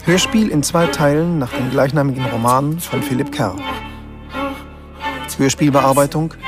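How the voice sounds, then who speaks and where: An announcer reading the scripted title and credits of a radio play in measured, separate phrases. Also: medium pitch. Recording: music behind the announcement.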